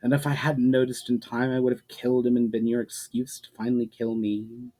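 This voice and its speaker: A man voicing a proud, sharp, defiant sorceress in formal speech for a dramatic fantasy story reading. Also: medium pitch, level tone, a little fast, lightly acted. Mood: probing, calmer. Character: proud, sharp, defiant woman wielder of magic.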